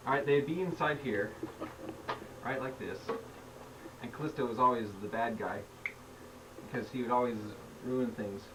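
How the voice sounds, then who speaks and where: A middle-aged man showing his childhood toy scenes on home video, rambling and excited with frequent restarts. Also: mid-range voice, normal speed, pauses often. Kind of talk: casual home-video show-and-tell. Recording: distant home-video mic with noise.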